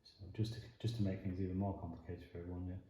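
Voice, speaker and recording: A man with a strong Yorkshire accent from Leeds, chatting in a relaxed, meandering way while doing a jigsaw puzzle at his dining table. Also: sits low, very fast, muffled recording, slight background noise. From northern England. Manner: meandering, thinking aloud, many ums. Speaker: jigsaw video host. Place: indoor dining room at a wooden table. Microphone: camera facing the table, speaker off frame. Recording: very echoey room.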